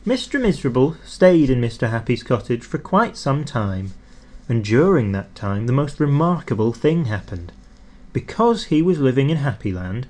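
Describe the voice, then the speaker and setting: A man narrating a children's storybook expressively, with deliberate emphasis on key words. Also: mid-range voice, a little mellow. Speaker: audiobook narrator.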